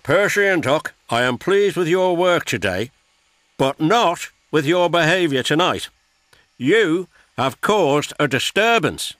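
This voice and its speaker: Dude doing a big bossy railway chief, loud and hearty, higher than his normal voice. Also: expressive, slow pace, no echo. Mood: stern. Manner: hearty and commanding. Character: stout, kindly but stern railway boss. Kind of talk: storybook character voice.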